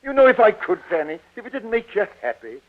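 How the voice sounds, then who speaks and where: A man playing a doting, excitable middle-aged father and veteran stage actor in a 1940s radio drama, with florid delivery. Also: sits high, fast talker. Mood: tender, remorseful. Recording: tinny, noisy 1940 radio recording.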